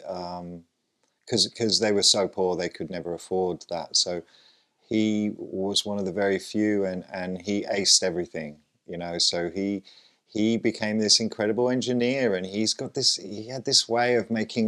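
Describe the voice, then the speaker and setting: A man with a very low voice, born in Lebanon, answers interview questions in a hesitant, searching way. His sentences stall on fillers and restarts as he works through each thought. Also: a bit bright. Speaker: guest. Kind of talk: conversational interview.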